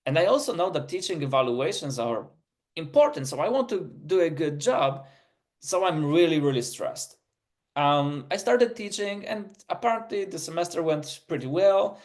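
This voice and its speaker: A man's voice: a little high, animated, normal speed, a little mellow. A middle-aged man, English his third language, speaking with a non-native accent and giving an earnest, story-driven webinar presentation with frequent restarts. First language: not English; English is his third language.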